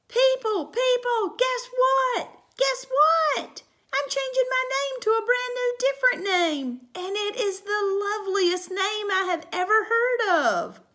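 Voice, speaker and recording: A woman reading a storybook aloud, voicing an excitable, bossy little kindergarten girl who squeals and shouts. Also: extremely high pitch, slow, voice pushed up, exaggerated voice. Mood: thrilled.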